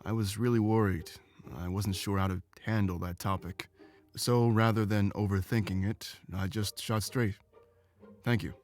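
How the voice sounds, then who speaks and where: A man's voice: on the low side, fairly even intonation, moderate pace, a little mellow, lightly acted. A man voicing a devoted, frank warrior beast-man who is eager for combat in a visual novel game. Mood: relieved. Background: game music.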